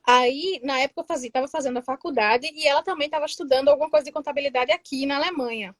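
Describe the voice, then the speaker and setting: High-voiced woman. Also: racing, no room sound, studio quality. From Northeast Brazil, Pernambuco interior. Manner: lively storyteller, casual. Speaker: guest, tax assistant in Germany. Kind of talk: interview storytelling.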